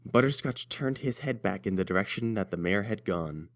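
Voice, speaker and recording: A man's voice: lowish voice, lively intonation, normal speed. A man narrating a scripted story as its reader.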